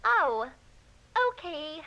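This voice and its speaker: A woman's voice: sits high, big pitch swings, drawn-out words, vocal fry, sharp tone, soft background music, exaggerated voice. A woman voicing an innocent, inquisitive little girl puppet in a naive, wide-eyed, childlike way. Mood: shocked, dismayed. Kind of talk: children's puppet show parody.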